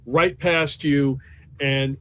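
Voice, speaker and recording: A man's voice: a little high, steady tone, extremely slow, fairly bright tone. A man narrating a tabletop role-playing game as game master, in a casual conversational way. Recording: home game recording with background noise.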